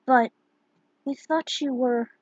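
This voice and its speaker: A woman's voice: high voice, steady tone, slow, no room sound, highs cut off, voice pushed up. Woman acting a caring, earnest young female friend.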